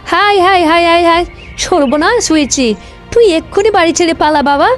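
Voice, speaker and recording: A woman's voice: extremely high pitch, moderate pace, faint music behind, voice pushed up. A woman voicing an anxious village mother in a cartoon folk tale, exclaiming and repeating her words. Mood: alarmed. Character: woodcutter's wife, anxious, easily tempted village mother.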